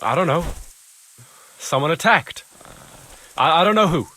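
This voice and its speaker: A husky, fairly high man's voice plays a jumpy villager in a video game. He is panicky and evasive, stammering with wide swings in pitch. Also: animated, measured pace, pushed and bright, heavily acted, low-quality recording. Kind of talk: video game dialogue acting.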